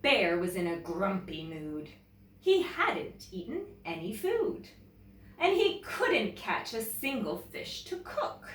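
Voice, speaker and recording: A woman with a Canadian accent, a teacher presenting and reading a children's story aloud in an expressive, deliberate way. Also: medium pitch, recorded from a distance. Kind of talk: children's storybook read-aloud with casual introduction. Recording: roomy echo, away from the mic.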